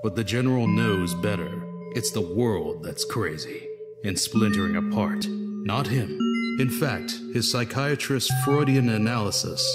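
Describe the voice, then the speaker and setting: An actor playing a solemn narrator of a comic book saga, reading scripted narration over loud music. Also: male voice, fairly low pitch, big-room sound, close to an everyday voice.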